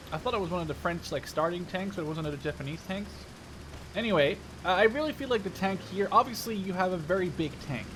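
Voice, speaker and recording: A man in his twenties with a rather high-pitched voice commentates a game in a casual, informal way. He rambles and drifts into storytelling asides. Vehicle and game noise runs faintly behind his voice. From Netherlands. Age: 20s. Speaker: gaming video host.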